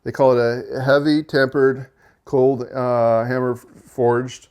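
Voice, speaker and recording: Male channel host, mid-pitched monotone, casual product-review read with fillers and restarts. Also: takes it slowly, smooth. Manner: relaxed, with frequent fillers and self-corrections.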